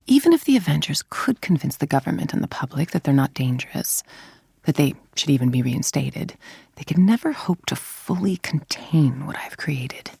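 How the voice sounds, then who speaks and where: A woman playing a cold, calculating villain scientist-executive in a video game, speaking in a measured, imperious, menacing way with a husky, creaky voice. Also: low-pitched, darkish tone, no room sound, studio quality, full character voice. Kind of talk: scripted dramatic video game monologue.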